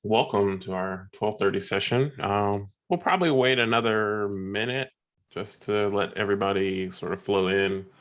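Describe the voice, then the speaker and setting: Man, stuffy from a sore throat, low-pitched, halting webinar presenter. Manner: halting, many ums and uhs. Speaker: software ambassador presenting a webinar. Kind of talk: casual presentation.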